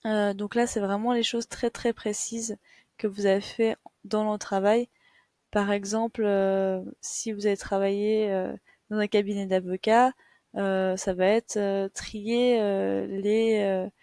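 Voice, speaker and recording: A woman's voice: mid-range voice, mellow, no echo, studio quality. A young woman giving a patient, step-by-step screen tutorial as a teacher, with many fillers. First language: French. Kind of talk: screen-share tutorial lesson.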